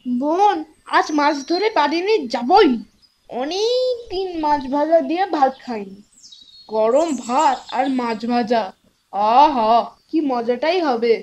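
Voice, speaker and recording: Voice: sits high, animated, slow, a little mellow. A performer voicing a timid, wistful village boy in a cartoon, the older brother of a little girl. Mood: eager, wistful. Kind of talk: Bengali cartoon acting.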